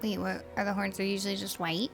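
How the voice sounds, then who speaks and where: Creaky-voiced woman streaming a game. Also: mid-range voice, level tone, fast talker. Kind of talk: casual reactions while playing a game. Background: game music.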